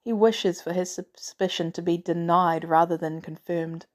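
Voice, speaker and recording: A woman's voice: lowish voice, steady tone, moderate pace. A woman reading a scripted story aloud as a narrator. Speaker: audiobook narrator of fan fiction.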